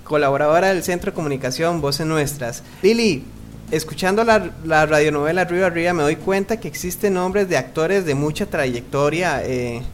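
Male interviewer, fairly high pitch, conversational, halting delivery, radio host. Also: slight background noise. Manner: halting, searching for words.

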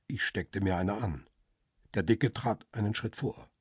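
Husky, low-voiced jailed crime-drama narrator. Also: male voice, unhurried, dry recording, clean studio sound. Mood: weary, wary. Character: jailed male paparazzo narrating his ordeal, wary, uneasy. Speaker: narrator and lead actor. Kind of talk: radio crime drama, first-person narration.

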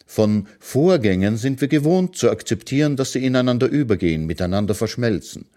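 A man reading his own philosophical short prose aloud in a calm, measured way, as a radio literary reading. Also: medium pitch.

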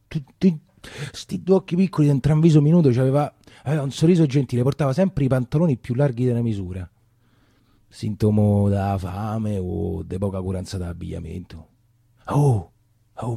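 A colloquial Roman man recalls his boyhood in a theatrical monologue. His voice is dark and mellow, closely and dryly recorded, and he often doubles back on his words. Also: medium pitch, husky, dry recording, clean studio sound. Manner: colloquial, halting, with repetitions. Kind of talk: theatrical first-person storytelling.

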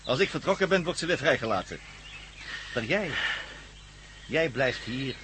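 A man's voice: mid-range voice, animated, normal speed, background noise, close to an everyday voice. An actor playing a megalomaniac villain in a radio drama, menacing, pompous and theatrical, gloating over his schemes. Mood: coldly gloating. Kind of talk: Dutch radio drama acting.

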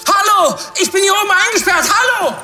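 A man's voice: extremely high pitch, very animated, brisk, pushed and bright, recorded from a distance, faint music behind, exaggerated voice. An actor playing a frantic male school director in an audio drama, calling out in agitation. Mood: desperate shouting.

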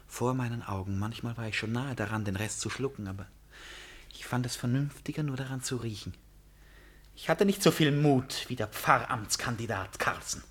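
A man's voice: mid-range voice, moderate pace, a bit bright, natural voice. An actor in a German radio drama playing an erratic, boastful, needling man who swings between confiding and agitation. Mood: confiding, morbid.

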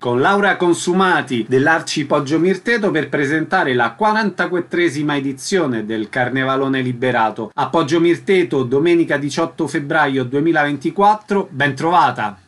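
Higher-pitched male radio interviewer. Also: animated, moderate pace, few pauses, a bit bright. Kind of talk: radio interview questions and introductions.

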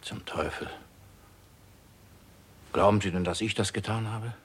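An actor playing a panicky, blustering Hollywood producer in a radio crime drama, agitated and volatile. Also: male voice, fairly low pitch, a little slow, lightly acted. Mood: indignant.

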